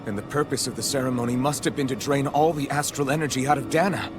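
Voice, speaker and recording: An actor playing an earnest, resolute young hero and leader in a video game, serious and determined. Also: male voice, mid-range voice, fairly even intonation, quick pace, lightly acted. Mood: serious resolve. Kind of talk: dramatic video game acting. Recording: music behind.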